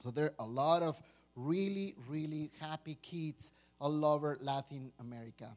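A Mexican-accented man whose first language is Spanish gives a relaxed, joking sermon. His voice sits fairly high for a man, and he keeps the tone loose and conversational. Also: moderate pace, faint music behind. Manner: joking, chatty, informal. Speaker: pastor. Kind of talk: informal sermon.

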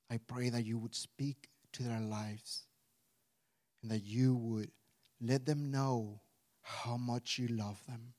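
A man in his forties from Mexico with a Mexican Spanish accent, preaching a casual sermon with a prayer, chatty and animated, full of restarts and "right?" asides. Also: mid-range voice. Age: 40s. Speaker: pastor.

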